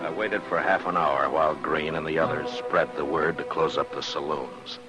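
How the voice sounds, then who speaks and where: A man's voice: extremely low pitch, fairly even intonation, moderate pace, loud music behind the voice. An American actor playing a stern, fearless frontier lawman in a 1950s Western radio drama, terse and commanding, narrating his own story. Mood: somber narration. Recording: noisy old radio recording.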